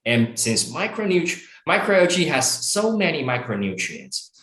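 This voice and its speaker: A middle-aged man from Taiwan, a startup founder answering interview questions in long, animated run-on explanations with fillers. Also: a little high, a little slow, reverberant.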